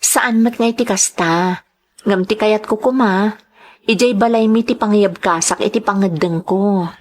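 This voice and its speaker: Isabela, slow-spoken hesitant sweetheart played by a woman. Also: medium pitch, a little mellow, natural voice. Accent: Filipino, Ilocano. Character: Isabela, hesitant woman being courted in a romance drama. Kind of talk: audio drama acting.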